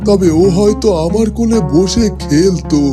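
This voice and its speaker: A man's voice: high voice, moderate pace, close to an everyday voice. A man playing a frail, plaintive old king. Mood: tender, wistful. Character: frail ailing old king, tender and sorrowful father. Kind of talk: cartoon character dialogue. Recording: loud music bed behind the voice.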